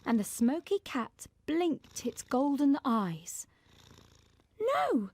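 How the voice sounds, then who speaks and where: Expressive woman narrator, slow and higher-pitched. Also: no room sound. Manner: expressive, acts out dialogue. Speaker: storyteller. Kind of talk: scripted children's story narration.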